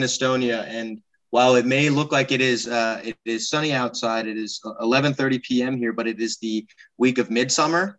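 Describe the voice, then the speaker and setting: A man's voice: mid-range voice, fairly bright tone, rough recording. A man in his twenties explaining a product and answering questions as a presenter in an online meeting. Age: 20s. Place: indoor room at home, on a video call. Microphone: wired earbuds on a video call.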